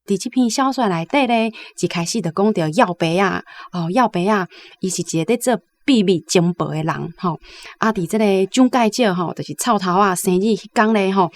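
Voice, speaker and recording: A Taiwanese woman host recaps a story in a conversational way. Her pitch is average, and she punctuates phrase after phrase with little tag particles.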